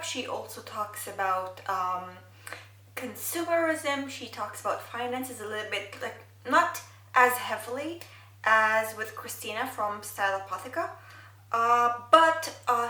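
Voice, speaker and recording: Young woman, Arabic native, beauty vlogger; bright, slightly high voice, roomy echo from a camera mic set back. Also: recorded from a distance. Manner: thoughtful, wordy, many fillers. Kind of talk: get-ready-with-me makeup chat. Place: bedroom, indoors.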